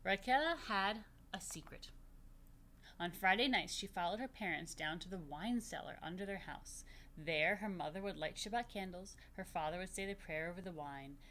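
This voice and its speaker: A woman's voice: lowish voice, normal speed, a bit bright, slight background noise. A woman rabbi leading a children's story time, reading aloud and adding playful, simply worded asides with plenty of repetition. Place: virtual story time recorded in a room.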